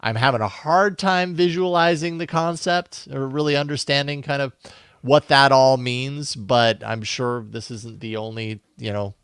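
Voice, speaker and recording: A man's voice: mid-range voice, fairly even intonation, a little slow, a bit bright, clear, resonant tone, dry recording, clean studio sound. A man co-hosting a podcast, talking through tech news casually and conversationally, thinking aloud as he goes. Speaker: podcast co-host presenting news.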